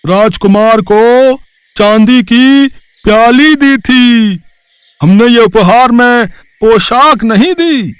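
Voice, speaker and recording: A man voicing a boastful wealthy party guest in a cartoon story, talking slowly and proudly. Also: very high voice, clean tone, no echo. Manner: slow, proud bragging.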